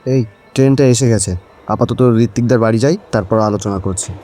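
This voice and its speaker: Man playing a mellow-voiced, insistent young man. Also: medium pitch, fairly quick, faint music behind, lightly acted. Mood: earnest, insistent. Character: practical, insistent young man urging friends to act. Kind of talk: Bengali audio story acting.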